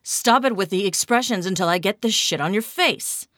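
A woman's voice: mid-range voice, normal speed, fairly bright tone, no echo, voice pushed up, natural voice. A woman voicing a dry, bossy male makeup artist who talks in curt orders.

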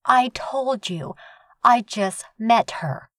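Guy putting on a snippy sarcastic young woman, way higher than his own voice, dry sound. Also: lively intonation, moderate pace, fairly bright tone, dry recording. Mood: indignant. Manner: scolding, sarcastic. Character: brash sharp-tongued young woman neighbor. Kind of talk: audiobook reading.

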